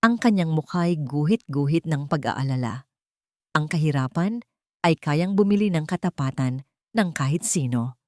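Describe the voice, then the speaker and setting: A woman with a low-pitched voice narrates a scripted audio drama in the first person. Her delivery is measured and deliberate, as a storyteller's. The sound is dry, with very little room in it. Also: expressive, no echo.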